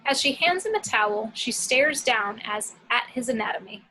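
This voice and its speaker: A woman's voice: a little high, animated, normal speed, pushed and bright, low-quality recording. A woman reading action descriptions from a film script as scripted narration. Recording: clear background noise, home recording.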